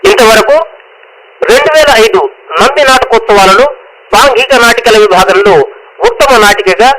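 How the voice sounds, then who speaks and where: A man's voice: very high-pitched, moderate pace, bright, forceful tone, poor audio. A man reading a scripted radio announcement as an announcer.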